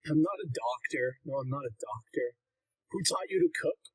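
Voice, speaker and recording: A man's voice: mid-range voice, animated, normal speed, husky, poor audio. A young man hosting a casual livestream Q&A. He reads chat questions aloud and answers them bluntly and ramblingly, with plenty of "like" fillers. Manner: blunt, rambling, heavy use of "like".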